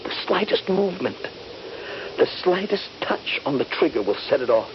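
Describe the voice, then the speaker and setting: An actor playing a trapped, wounded Union soldier, fearful and halting, in a 1970s radio drama. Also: male voice, on the high side, very animated. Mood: mounting dread. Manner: halting, dramatic, intense delivery. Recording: noisy, dull 1970s radio drama recording.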